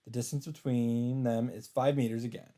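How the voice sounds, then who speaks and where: A man's voice: medium pitch, flat delivery, moderate pace, dark tone. A man reading a visual novel aloud as a let's player, in dramatic narration. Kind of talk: dramatic read-aloud narration with casual asides. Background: game music.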